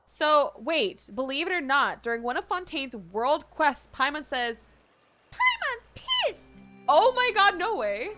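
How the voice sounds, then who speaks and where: A young woman streamer giving casual, slangy, expressive live reactions as she plays a game. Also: sits high, measured pace, over loud music.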